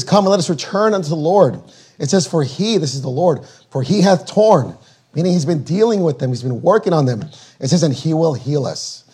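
A man preaching a sermon while losing his voice, reading scripture slowly and deliberately, then explaining it in plain words. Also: fairly high pitch, darkish tone. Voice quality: husky. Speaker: guest preacher.

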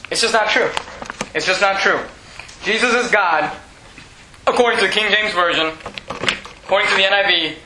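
A man from Venezuela whose first language is Spanish, preaching a sermon with emphatic rhetorical questions and frequent restarts. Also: high-pitched. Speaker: pastor. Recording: echoey room with faint noise.

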